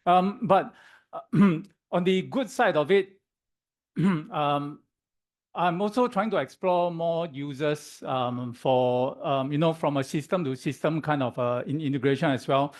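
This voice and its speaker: A man with a hoarse voice giving a presentation at an evening meetup in an office room. He speaks haltingly with many "um"s and keeps checking in with the audience with "okay?". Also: on the high side. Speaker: guest speaker at a tech meetup. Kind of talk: conference presentation.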